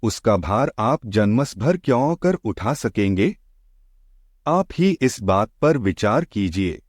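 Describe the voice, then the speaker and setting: A man's voice: fairly low pitch, big pitch swings, slow, no room sound, clean studio sound, heavily acted. A man voicing a poor, grieving elderly widow in a moral storybook tale, humble and deferential. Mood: gentle reproach. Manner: pleading, deferential.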